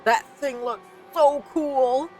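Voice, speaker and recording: A woman's voice: high voice, slow pace. A woman hosting a let's play, casually commenting, reading aloud and reacting expressively to the game. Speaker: streamer, let's play host. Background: faint game music.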